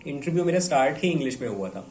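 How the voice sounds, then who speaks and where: A man's voice: on the high side, expressive, very fast, darkish tone. A young man from India, giving casual, conversational advice to viewers and mixing Hindi with English words. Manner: conversational, mixes Hindi with English words, fillers like "theek hai". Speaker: bank officer giving exam advice. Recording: echoey room.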